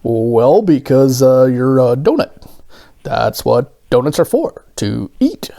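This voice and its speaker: A man's voice: medium pitch, big pitch swings, slow pace, gravelly, dark tone, heavily acted. A man playing a sheepish, hesitant ordinary man in a children's storybook, stammering as he talks. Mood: flustered.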